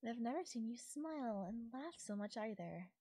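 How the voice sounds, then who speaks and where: A woman's voice: fairly high pitch, animated, moderate pace, warm tone, no echo, clean studio sound. A woman playing a possessive, doting fairy captor in an intimate ASMR audio roleplay, speaking sweetly and lilting, sometimes nearly whispering. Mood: tender, cheerful.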